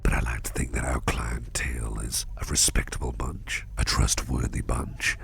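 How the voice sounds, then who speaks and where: Man acting as a very deep, gravelly, kindly boss. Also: flat delivery, exaggerated voice. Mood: warm, reassuring. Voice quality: very deep, gravelly, breathy half-whisper. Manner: gentle, doting, unhurried, repeats reassurances. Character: kindly, reassuring businessman boss looking after a valued employee. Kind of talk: soft-spoken ASMR roleplay.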